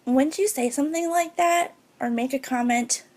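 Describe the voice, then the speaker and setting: A young woman with a high-pitched, girlish voice, talking earnestly and a little hesitantly to camera as a beauty vlogger. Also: moderate pace, darkish tone. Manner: earnest and heartfelt, a bit hesitant. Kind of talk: personal talk to camera.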